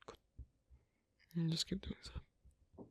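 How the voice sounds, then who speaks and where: A performer voicing an awkward, asocial werewolf in an ASMR roleplay, speaking in a husky half-whisper with halting, terse phrases, as a non-native English speaker. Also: no echo. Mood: patient, focused. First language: not English. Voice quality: breathy, husky. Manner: halting, terse, quiet instructions with clipped grammar. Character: asocial, awkward werewolf teaching sewing on a date.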